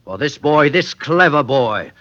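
A muffled old radio drama recording carries a self-satisfied middle-aged French factory owner. His voice is forceful and swings widely in pitch as he exclaims grandly, a gullible man who is quick to dismiss others. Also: lively intonation. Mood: excited, boastful. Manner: theatrical, expansive, exclaiming. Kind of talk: 1950s radio drama acting.

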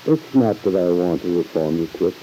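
An actor playing an earnest, patient uncle, speaking in a measured, kindly way in an old-time radio soap opera. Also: male voice, sits low, expressive, mellow. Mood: gently concerned. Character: earnest, concerned uncle, a bank man talking gently to his niece. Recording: muffled hissy old radio recording.

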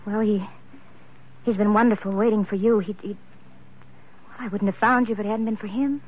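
Actress as an anxious, devoted sweetheart, tinny sound. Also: female voice, medium pitch, steady tone, a little fast, breathy edge, close to an everyday voice. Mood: worried. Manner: pleading, hesitant. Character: devoted, anxious young woman in love with a captain. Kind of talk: 1940s radio drama acting. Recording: thin, faintly noisy vintage radio recording.

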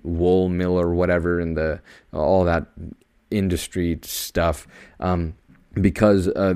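A young man with a deep, projected voice giving a casual podcast monologue in an emphatic, matter-of-fact, know-it-all manner. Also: darkish tone. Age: young adult. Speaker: podcast host.

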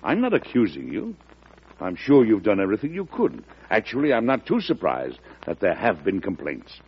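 An actor playing a calm, steady older president in an old radio drama, with a husky voice and a measured, reassuring manner. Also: male voice, lowish voice, dull audio, full character voice. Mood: thoughtful. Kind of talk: 1940s radio acting.

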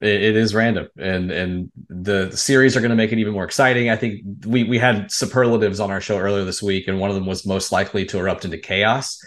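A man's voice: lowish voice, fairly even intonation, quick pace, few pauses. A man hosting a sports podcast in a casual, chatty, informal way.

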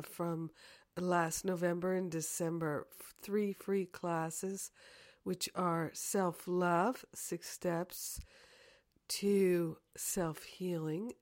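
A woman with a hoarse, croaky voice from a cold, leading a slow, earnest guided prayer full of affirmations. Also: lowish voice, no room sound, studio quality. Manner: soft, slow and earnest, repeating "grateful and thankful". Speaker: spiritual teacher and podcast host.

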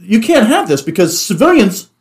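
A man hosting a casual panel discussion, speaking in halting, stop-start phrases with repeated words. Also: high voice, sing-song. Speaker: talk show host.

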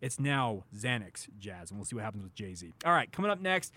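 A man's voice: on the low side, sing-song, a little fast, pushed and bright. A man with an American accent hosting a casual sports radio talk show, chatting and steering the conversation.

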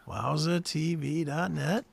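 A man's voice: a little high, creaky, breathy edge, no room sound. A man hosting a talk show in casual chat, with an informal, enthusiastic manner.

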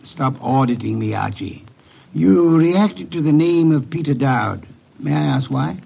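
An actor playing an imperious, grumpy, erudite detective boss with a gravelly voice and formal, precise, curt speech, in an old-time radio drama. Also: male voice, a little high, lively intonation, a little slow, exaggerated voice. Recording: dull old radio recording.

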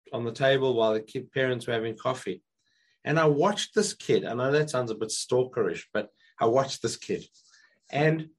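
A hoarse man with a croaky voice, a guest speaker on a video call, telling stories in casual conversation and often restarting his sentences. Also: mid-range voice. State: hoarse, recovering from illness. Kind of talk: casual interview chat.

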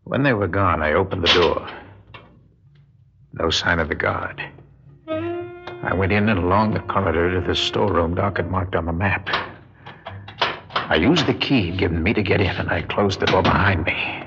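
Wary small-time crook in an old radio play, low gravelly voice, wry n street-wise, faint music behind. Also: male voice, very animated, normal speed, long pauses. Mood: tense narration. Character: wary, world-weary male con man narrating his own crime. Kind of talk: classic radio drama acting with first-person narration. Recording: dull lo-fi radio drama recording.

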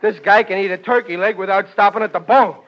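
An actor playing a sarcastic, easily exasperated working-class husband who gripes and wisecracks in a 1940s radio sitcom. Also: male voice, high-pitched, fast talker, heavy background noise, lightly acted. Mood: grumbling. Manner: griping, wisecracking, ungrammatical everyday speech. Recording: dull, lo-fi vintage radio recording.

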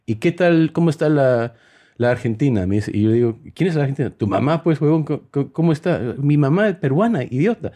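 A man's voice: fairly high pitch, fairly quick. Joking man with a mixed Spanish accent. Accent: mixed Peruvian, Spanish and Mexican-American Spanish. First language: English. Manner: joking, animated storytelling. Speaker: podcast host. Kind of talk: casual chat.

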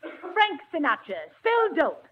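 An actress playing a prim, bossy schoolteacher in a 1940s radio comedy, with a stern, fussy, formal manner. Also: female voice, extremely high pitch, sing-song, slow, full character voice. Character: prim bossy female schoolteacher of a rowdy class. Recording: dull old radio broadcast, audience, noise.

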